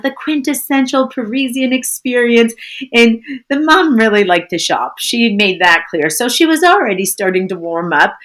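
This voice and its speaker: A woman from Canada with a friendly, sing-songy voice, a guest telling lively stories in a casual interview and laughing often. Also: on the high side, moderate pace. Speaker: tour business owner, guest.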